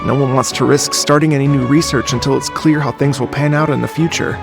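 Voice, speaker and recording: A man's voice: medium pitch, steady tone, fast talker, creaky, music in the background, natural voice. A man voicing an easygoing young male researcher in a game, chatty but hesitant and stammering. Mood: explaining. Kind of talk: game voice acting.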